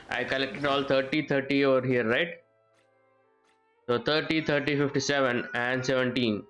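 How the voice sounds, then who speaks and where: A man's voice: medium pitch, a bit bright, poor audio. A man giving casual live gameplay commentary as a streamer, thinking aloud in a rambling way and repeating phrases. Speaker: game streamer. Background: game music and character voices.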